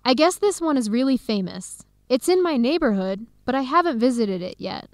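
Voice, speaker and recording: A woman playing a cheerful, adventurous young American in a scripted radio drama, speaking clearly and brightly with an American accent. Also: a little high, expressive, normal speed, no echo. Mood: friendly, upbeat. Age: young adult.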